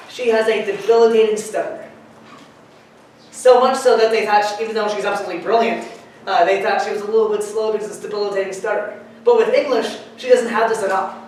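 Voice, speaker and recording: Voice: mid-range voice, darkish tone, far from the mic, slight background noise. Chatty lecturer in an echoey hall. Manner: chatty, digressive, joking asides. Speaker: university chemistry lecturer. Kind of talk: classroom lecture.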